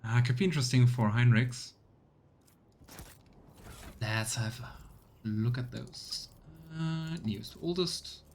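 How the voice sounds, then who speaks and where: Male gaming streamer, average pitch, relaxed thinking-aloud commentary, faint ambience behind. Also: slight background noise. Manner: thinks aloud, reads item text in quick bursts. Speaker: let's play streamer. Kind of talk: casual gameplay commentary.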